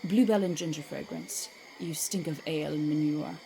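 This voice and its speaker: A woman's voice: low voice, moderate pace, mellow, a little hiss or hum, low-quality recording. A woman playing a haughty, zealous drow priestess with formal, disdainful speech in a fantasy audio drama. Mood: disdainful, curt.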